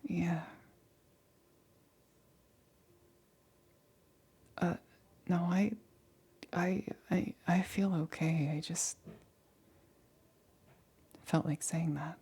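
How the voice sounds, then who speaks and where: A woman playing an anxious, lonely female lab assistant in an ASMR roleplay, whispering softly and intimately with halting, hesitant stammers. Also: on the low side, fairly even intonation, full character voice. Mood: woozy, affectionate. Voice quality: breathy, whispered.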